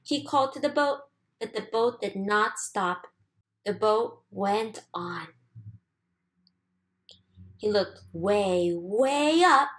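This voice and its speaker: A woman reading a children's storybook aloud expressively, with deliberate, sing-song emphasis. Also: mid-range voice, animated. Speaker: storybook reader.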